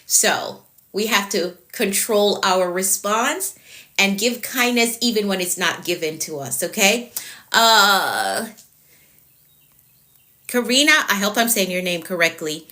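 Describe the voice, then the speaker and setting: A woman's voice: medium pitch, lively intonation, slow, sharp tone. A woman with a Jamaican accent, a productivity coach giving a live-stream talk, repeating her key points and greeting viewers by name.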